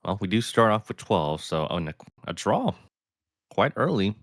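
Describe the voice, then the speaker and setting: A man with a smooth, soothing voice, commenting calmly and analytically on a card game. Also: moderate pace, no echo, clean studio sound. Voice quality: deep, smooth voice. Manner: calm, thoughtful, analytical. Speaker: player and guest commentator.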